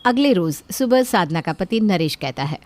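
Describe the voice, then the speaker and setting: A woman's voice: lowish voice, lively intonation, normal speed, very noisy. A woman reading a scripted family story as a narrator.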